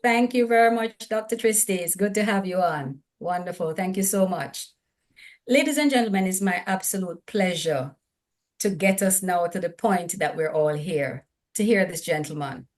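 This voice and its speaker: A woman's voice: fairly low pitch, normal speed. A woman with a Jamaican accent hosting an online seminar, speaking to her audience in an upbeat, emphatic way. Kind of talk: live event hosting.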